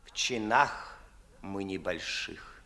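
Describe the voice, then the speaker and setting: An actor playing an obsequious, cautious young clerk in a 1950s classical radio play, mincing and deferential. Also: male voice, deep voice, slow pace, gravelly, pushed and bright, full character voice. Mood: meek. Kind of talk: 1950s classical radio theatre acting in verse.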